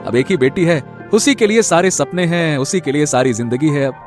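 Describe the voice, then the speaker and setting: A man's voice: fairly high pitch, animated, a little fast, a little mellow, faint music behind, close to an everyday voice. A man playing a respectful factory manager in a cartoon story, explaining things deferentially to his boss. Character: deferential, trusted male factory manager and devoted father.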